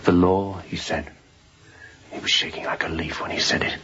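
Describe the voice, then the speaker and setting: A very deep-voiced fugitive sailor, weary and haunted. He speaks in hushed, halting confession, often close to a whisper. The radio drama recording sounds dull and muffled. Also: fairly even intonation. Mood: bitter, haunted. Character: escaped seaman fugitive, weary, haunted, confiding. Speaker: actor in an audio drama.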